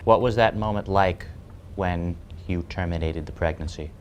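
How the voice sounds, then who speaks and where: Casting: male reporter with a lowish voice, posing interview questions. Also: normal speed.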